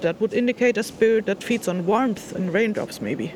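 A woman's voice: mid-range voice, animated, normal speed, darkish tone, lightly acted. A woman plays a young spirit hunter in an audio drama field log, over faint ambient noise. The delivery is hesitant and musing. There is a wry, teasing streak. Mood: musing, uncertain. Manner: hesitant, thinking aloud, dry teasing.

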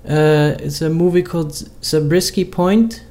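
A man's voice: on the high side, a little slow, darkish tone. A man co-hosting a casual chat, talking in a hesitant way with many fillers and restarts.

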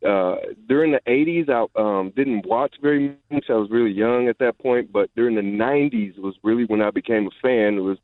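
A middle-aged man calling a radio show, recalling casually in halting, hesitant phrases. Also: mid-range voice, no echo. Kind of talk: casual recollection. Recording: tinny narrowband phone line.

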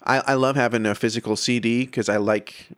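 A man's voice: mid-range voice, steady tone, brisk, no room sound. Male podcast host, close and dry. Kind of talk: casual interview and podcast outro.

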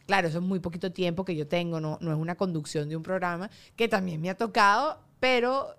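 A young adult woman with a Venezuelan accent hosts a relaxed conversation. She talks in a lively, exclamatory way, throwing in casual swear words as she reacts to her guest. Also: on the low side, a little fast. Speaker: podcast host. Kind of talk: casual chat.

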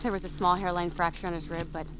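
Actress as blunt lady medic in an audio drama, lower voice, matter of fact, noisy background ambience. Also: little pitch movement, fast talker, natural voice. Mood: weary, matter-of-fact. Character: blunt, sweary woman medic under pressure.

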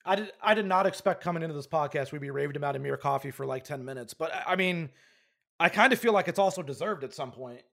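A young man co-hosting a casual sports podcast, chatty and animated, quick to laugh. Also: a little high, gravelly. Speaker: podcast co-host.

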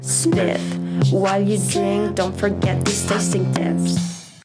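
A woman's voice: medium pitch, level tone, slow. A woman delivering short rhythmic phrases as a podcast jingle. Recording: loud music over the voice.

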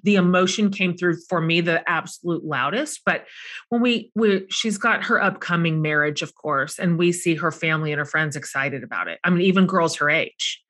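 A woman's voice: on the low side, normal speed, fairly bright tone. A middle-aged woman hosting a book club interview, asking long, enthusiastic questions that linger over her words. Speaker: podcast host.

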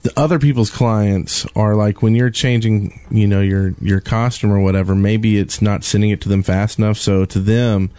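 A man's voice: lowish voice, steady tone, moderate pace, hardly any pauses, warm tone, clean tone. A man co-hosting a podcast in loose, rambling, casual conversation. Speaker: podcast co-host.

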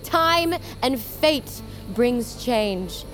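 High-voiced, defiant huntress over music. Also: female voice, animated, very slow. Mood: defiant challenge. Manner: blunt, forceful declarations. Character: bold, defiant young huntress challenging for power. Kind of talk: dramatic audio fiction acting. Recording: music behind the voice.

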